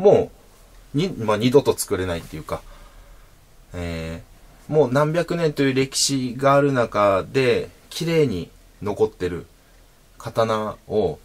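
A man in his thirties hosting his own channel, explaining sword types patiently to camera from a small room, with frequent fillers and pauses as he demonstrates. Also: mid-range voice. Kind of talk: casual explanatory talk to camera. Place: small indoor room at home.

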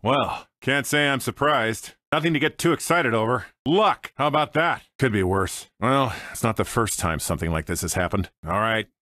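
Cocky american space smuggler for a game, dry wisecracks, really animated pitch, studio dry sound. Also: male voice, moderate pace, few pauses, no room sound. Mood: smug, wry. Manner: dry, sardonic, swaggering. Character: cocky, wisecracking roguish space smuggler and pilot, adult man. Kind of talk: video game character voice clips.

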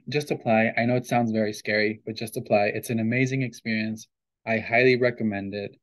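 A man's voice: medium pitch, poor audio. A young man from Colombia, a recent graduate giving brief upbeat advice in a webinar over earbuds. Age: young adult. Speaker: recent alumnus speaker. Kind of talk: webinar talk. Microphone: earbuds on video call.

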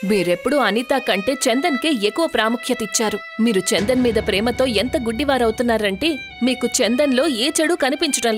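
An indignant mother in a moral tale, acted by a woman, with a fairly high voice and music behind her. She protests and reproaches her husband as she stands up for her child. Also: natural voice. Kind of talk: dramatized moral story voice acting.

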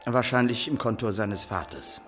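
A man's voice: on the low side, expressive, moderate pace, a bit bright, soft background music. An actor playing a polite, obliging male servant in a German radio crime drama, answering deferentially. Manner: deferential, courteous.

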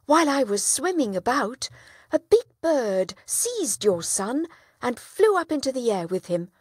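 A woman's voice: sits high, sing-song, a little slow, darkish tone, slightly rough, no echo, studio quality, voice pushed up, full character voice. A woman voicing a shrewd male village trader, speaking up earnestly and insistently.

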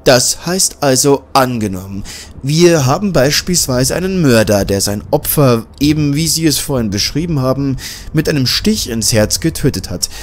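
A man's voice: medium pitch, lively intonation, normal speed, a little hiss or hum, lightly acted. A man voicing an inquisitive male journalist. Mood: questioning. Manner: questioning, slightly hesitant. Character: curious male journalist, probing and practical. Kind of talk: audiobook character voice.

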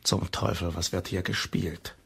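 An actor playing a gruff, hot-tempered lighthouse keeper with a gravelly, husky voice in a German crime radio play. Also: male voice, low voice, fairly even intonation, unhurried, a bit bright, heavily acted. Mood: indignant.

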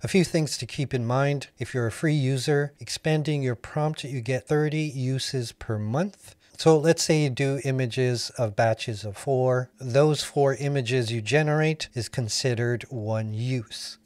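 A man in his thirties whose first language is Filipino, giving an upbeat, friendly software tutorial walkthrough. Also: medium pitch. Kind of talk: screen-recorded software tutorial.

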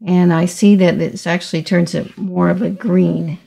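A middle-aged woman teaching watercolor step by step, speaking haltingly as she thinks aloud while painting. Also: fairly low pitch, fairly even intonation, vocal fry, warm tone, breathy edge. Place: indoors, small studio room at a table.

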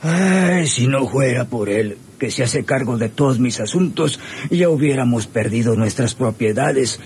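A man playing a frail, anxious old rancher father with a creaky voice and rural Mexican accent. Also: medium pitch, lively intonation, a little slow, a little mellow. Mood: despairing. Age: older. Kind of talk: old Spanish-language radio serial acting. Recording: noisy old radio drama recording.

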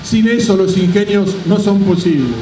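A middle-aged man gives a measured, deliberate public speech as a company representative at an outdoor podium. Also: high voice, music in the background. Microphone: microphone on a stand at a podium. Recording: roomy distant PA microphone, background noise.

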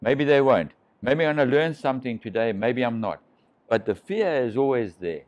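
Middle-aged man, seasoned shark diver, casual rambling storyteller, medium pitch, picked up a little dull from across the room. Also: lively intonation, normal speed, darkish tone. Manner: rambling, restarts, swears freely. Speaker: shark diving pioneer, guest. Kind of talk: casual storytelling over drinks. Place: living room, sitting on a sofa.

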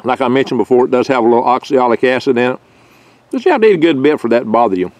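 A man's voice: medium pitch, lively intonation, racing, a little mellow, no room sound. Folksy older man rambling outdoors. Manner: rambling, folksy, chatty with small jokes and stumbles. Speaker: foraging channel host. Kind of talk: casual outdoor foraging vlog. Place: outdoors in a garden among pine trees. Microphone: handheld camera mic held close.

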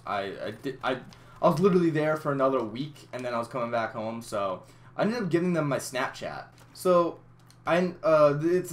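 A young man tells a loose, rambling story in a small room, stumbling over restarts and swearing often. His voice sits at an ordinary middle pitch, and he talks into a headset mic. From Massachusetts. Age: young adult. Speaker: gaming YouTuber. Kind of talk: casual storytelling over gameplay.

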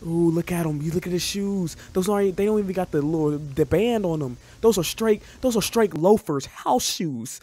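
A young adult man giving casual, excitable reaction commentary in a jumpy, slangy, joking and rambling way, close on the microphone. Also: sits high, moderate pace, few pauses. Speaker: reaction video host. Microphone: close microphone at a desk. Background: music from the watched videos.

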